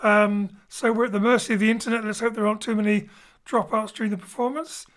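A man's voice: high-pitched, little pitch movement, fast talker, a bit bright. A man introduces a show as its director and host. He speaks informally, as if to listeners at home, into a home studio microphone. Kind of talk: informal spoken introduction to a live-style show. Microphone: home studio microphone over internet link.

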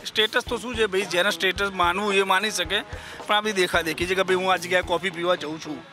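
Fast-talking opinionated man. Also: high voice, fairly even intonation. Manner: opinionated, explains at length. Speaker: interviewee, a father. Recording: music playing underneath.

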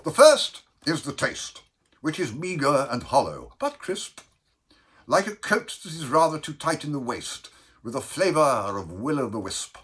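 A man voicing a bossy, impatient, excitable ship's bellman in a storybook verse reading, with loud, indignant delivery. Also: mid-range voice, measured pace, slightly rough, pitched above the performer's own voice, full character voice. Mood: pompous.

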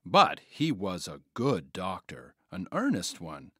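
An actor playing a village doctor's assistant who narrates a tale in an audio drama. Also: male voice, deep voice, wildly swooping pitch, takes it slowly, pushed and bright, breathy edge, no echo, clean studio sound, full character voice. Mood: solemn storytelling.

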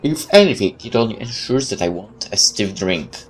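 A man hosting a let's play, reading a visual novel aloud with expressive storytelling and casual asides. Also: lowish voice, normal speed, a little hiss or hum. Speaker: let's play host and narrator. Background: game music.

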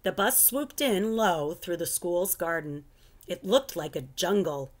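A middle-aged woman reading a children's book aloud in an expressive, animated storytelling style at home. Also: fairly low pitch, unhurried. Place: living room at home.

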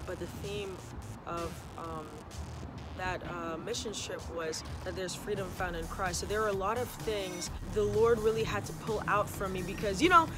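A young woman from Trinidad and Tobago, raised in the United States, talking casually to camera outdoors about her faith, gesturing as she speaks. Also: mid-range voice. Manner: animated, talks with her hands, says y'all. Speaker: vlogger. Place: outdoors on an open concrete rooftop. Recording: music playing clearly behind.

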